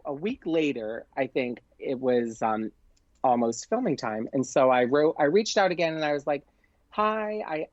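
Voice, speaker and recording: A man chats through an anecdote as a podcast guest in an excitable, gushing way. He peppers it with "like" and voices the dialogue as he goes. The sound is dry and somewhat dull, with a lo-fi edge. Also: medium pitch, no echo. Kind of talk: casual anecdote, chatty storytelling.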